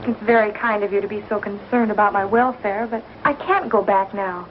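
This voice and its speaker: An actress playing a prim, determined schoolteacher, polite and proper, in a 1950s TV western. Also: female voice, medium pitch, a little fast, a little hiss or hum, close to an everyday voice. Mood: resolute. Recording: muffled old TV soundtrack.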